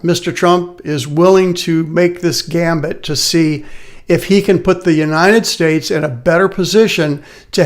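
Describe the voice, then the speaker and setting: A man hosting a live market update from his office, tired and a little raspy, talking earnestly and plainly. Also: a little high. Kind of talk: casual live market commentary.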